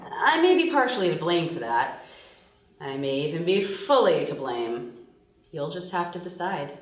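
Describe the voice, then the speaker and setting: Woman acting a lively, low-voiced young party-girl thief. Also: moderate pace. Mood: wry, confiding. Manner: wry, confessional storytelling. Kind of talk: dramatic voice-over at a table read. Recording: echoey room, distant microphone.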